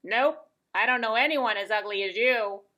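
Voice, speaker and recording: A woman's voice: on the high side, moderate pace, bright, forceful tone. A woman voicing blunt, dismissive pond animals, a turtle and a goose, in a storybook puppet show.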